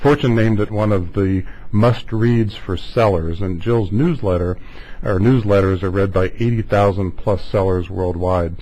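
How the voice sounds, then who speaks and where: Man, low pitch, interview host, conversational, long meandering delivery. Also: unhurried, mellow, rough recording.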